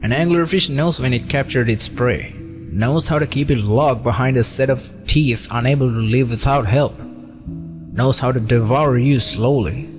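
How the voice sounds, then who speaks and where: A man's voice: mid-range voice, a little slow, music in the background. A man reading a scripted first-person horror story as narrator, with a brooding, dramatic delivery.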